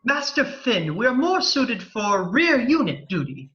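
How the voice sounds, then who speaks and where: A man's voice: very high-pitched, very animated, slow, a bit bright, dull audio, full character voice. A man voicing an anxious, prim protocol droid, formal and fretful, in a sci-fi audio drama. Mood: prim worry.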